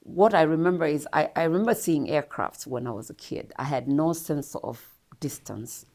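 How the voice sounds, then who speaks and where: A woman's voice: deep voice, normal speed, darkish tone. A Kenyan woman telling her life story as an interview guest, speaking in a reflective, earnest way with laughs now and then.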